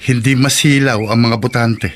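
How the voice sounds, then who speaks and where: An actor in a Filipino radio drama playing a proud, worried political candidate, emphatic and defiant. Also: male voice, medium pitch, steady tone, moderate pace, background noise, lightly acted.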